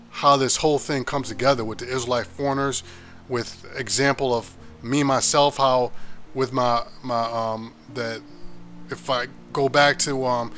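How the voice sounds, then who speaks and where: American man, informal Bible teacher; bright, forceful voice, emphatic, conversational, restarts often, faint music underneath. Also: mid-range voice. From United States.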